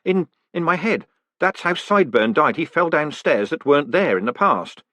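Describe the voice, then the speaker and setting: A man voicing a panicked young male con man who talks in frantic, high-strung bursts. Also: sing-song, fairly quick, fairly bright tone, no echo. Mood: rattled.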